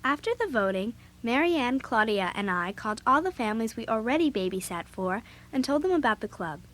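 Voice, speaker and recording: A woman's voice: a little high, big pitch swings, fairly quick, a bit bright. A young female actor playing a spirited, outspoken girl babysitter in an audio drama, narrating and speaking with youthful emphasis. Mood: earnest. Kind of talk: first-person audio drama narration and dialogue.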